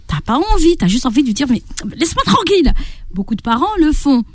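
A woman whose first language is Arabic, a parenting coach speaking as a radio guest, explaining with lively acted-out examples. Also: high voice, quick pace, dry recording. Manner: lively, animated, acts out parents' and children's quotes. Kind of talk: radio interview, explaining advice.

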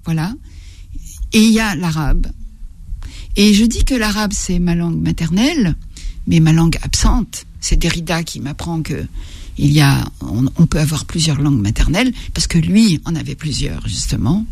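An older woman from Algeria, a writer, talking slowly and reflectively in an interview, often stopping to search for her words. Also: low-pitched, lively intonation, creaky, darkish tone, breathy edge, dry recording. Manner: halting, searching for words, many restarts.

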